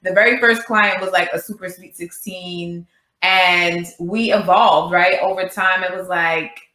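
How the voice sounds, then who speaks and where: Slow-talking New woman, bright. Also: on the low side, echoey room. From Queens, New York. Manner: animated, laughs while talking. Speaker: podcast guest, business co-founder. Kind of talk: casual chat.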